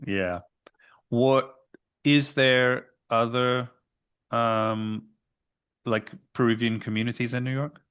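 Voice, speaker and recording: A man hosting a podcast, introducing his guest and asking plain, measured questions. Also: medium pitch, steady tone, fairly bright tone, smooth. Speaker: podcast host.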